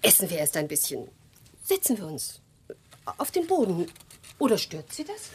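An actress playing a sociable, flirtatious woman musician in a German radio drama, talking softly and intimately. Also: mid-range voice, wildly swooping pitch, moderate pace, breathy edge, exaggerated voice. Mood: relaxed, intimate.

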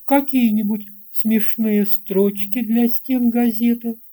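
A narrator reading a children's tale in a measured, expressive storytelling manner. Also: medium pitch. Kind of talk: scripted audiobook narration of a children's fairy tale.

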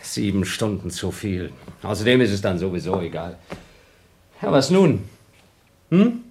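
Agitated astronaut with swooping low voice. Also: male voice, unhurried, exaggerated voice. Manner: excitable, urgent, exclamatory. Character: agitated, impatient male astronaut trapped on a moon station. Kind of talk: radio play acting.

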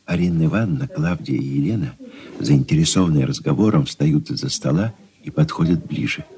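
A man's voice: a bass voice, fairly quick, mellow, slightly rough, heavily acted. A man narrating stage directions for a radio drama in a scripted reading style.

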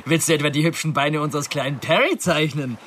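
An actor playing a mocking brash crook. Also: male voice, on the high side, normal speed, a bit bright, a little hiss or hum, natural voice. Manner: mocking, swaggering. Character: brash restless male criminal Dick, mocking. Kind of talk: radio drama acting.

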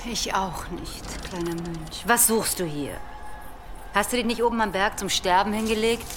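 An actress playing a mocking, gruff heathen herb woman in a radio play, sardonic and prone to muttering and whispers. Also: medium pitch, moderate pace, noisy background, close to an everyday voice. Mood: mocking, teasing. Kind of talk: dramatic radio play acting.

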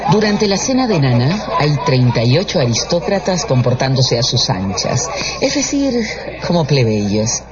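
A man's voice: medium pitch, very animated, unhurried, crowd noise. A man narrating a scripted radio drama in a storytelling role. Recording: dull radio recording with music.

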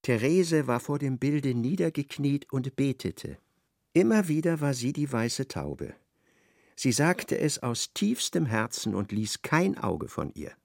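A man playing a wry literary narrator in a radio drama, expressive and ironic as he slips into a character's thoughts. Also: medium pitch, measured pace, vocal fry, no room sound, studio quality, full character voice.

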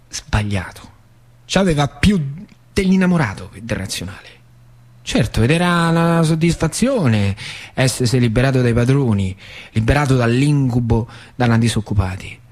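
An actor playing a plainspoken, wistful older factory worker with a central Italian, Lazio accent, in a theatrical monologue with colloquial repetitions. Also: male voice, mid-range voice, animated, moderate pace, lightly acted. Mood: wistful, brooding. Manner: colloquial, repetitive, dramatic swings from emphatic to hushed. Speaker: stage actor.

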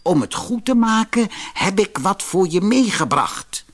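A man voicing a sly, jealous merchant who lies with smooth, ingratiating talk in a fairy-tale audiobook. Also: high-pitched, very animated, a little slow, a bit bright, pitched above the performer's own voice, exaggerated voice. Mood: sly, coaxing. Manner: ingratiating, coaxing.

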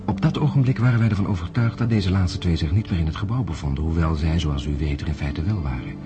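An actor narrating a radio play as a weary male astronaut, grave and measured. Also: low-pitched, close to an everyday voice. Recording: music under the voice.

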